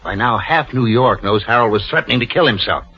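An actor playing a coldly calculating, persuasive swindler in an old-time radio drama, commanding and pushy. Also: male voice, medium pitch, lively intonation, a little fast, close to an everyday voice. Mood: cold, conspiratorial. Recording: dull old radio recording with music.

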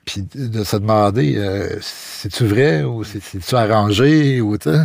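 A man's voice: fairly low pitch, unhurried, vocal fry, a little mellow, dry recording, studio quality. A man with a Quebec French accent narrating casually and personally, in a colloquial, hesitant way. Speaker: visual artist introducing his guest.

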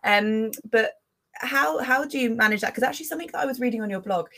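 A woman's voice: on the high side, fast talker, sharp tone. A woman hosting a podcast in casual interview chat, talking in a chatty, enthusiastic way with emphatic repetition. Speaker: podcast host.

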